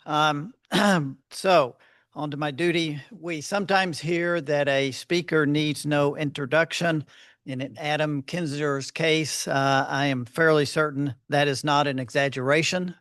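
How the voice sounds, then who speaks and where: A soft-spoken man giving a measured, formal introduction at a luncheon podium, with frequent fillers. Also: on the high side, fairly even intonation, dry recording, clean studio sound. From Fort Worth, Texas. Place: luncheon hall.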